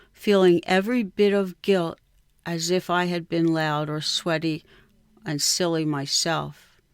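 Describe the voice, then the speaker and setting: A woman reading a scripted personal memoir in a measured, reflective way. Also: on the low side, clean tone, no room sound, clean studio sound. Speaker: writer reading own piece. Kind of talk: scripted literary memoir narration.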